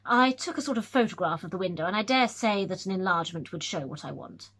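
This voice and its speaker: A woman's voice: fairly low pitch, fast, slightly rough, heavily acted. A woman reading aloud, voicing a young male scholar who tells his tale eagerly, with nervous stumbles. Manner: lively, excited storytelling with hesitations.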